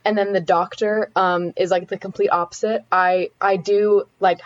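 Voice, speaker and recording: A teenage girl answering as a student guest, eager and giggly, with lots of "like" and "um." Her voice has an ordinary pitch. The recording sounds a little dull and lo-fi. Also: little pitch movement, normal speed. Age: teens. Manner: eager, filler-heavy, giggly. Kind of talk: interview answers.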